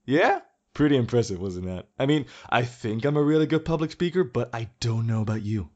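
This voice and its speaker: A man's voice: mid-range voice, lively intonation, fairly quick, darkish tone, highs cut off, lightly acted. Man playing a theatrical, swooping young tyrant. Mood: gloating, flippant. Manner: theatrical, mock-friendly, sarcastic. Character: gleefully menacing, mocking young male tyrant president. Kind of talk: audio drama acting.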